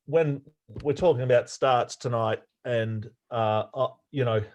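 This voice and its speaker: Older Australian man, casual coaching talk, halting delivery with frequent fillers. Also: mid-range voice, darkish tone. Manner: halting, many fillers and restarts. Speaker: sailing coach giving an online talk.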